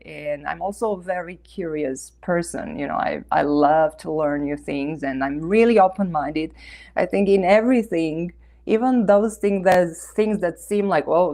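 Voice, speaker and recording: Female interview guest from Romania; low-leaning, gravelly voice, earnest and effusive delivery. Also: darkish tone. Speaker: interview guest, author and life coach. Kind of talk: conversational interview answers.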